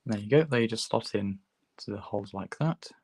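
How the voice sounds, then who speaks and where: A hoarse man with a low voice chats easily while he works at a hobby bench, walking viewers through a build step by step. His voice comes across close and dry, with no room sound around it. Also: normal speed, no room sound. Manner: relaxed, chatty, talks while working with his hands. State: hoarse, sore throat. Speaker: host demonstrating a model kit build. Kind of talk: casual livestream tutorial. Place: hobby workbench, filmed from overhead.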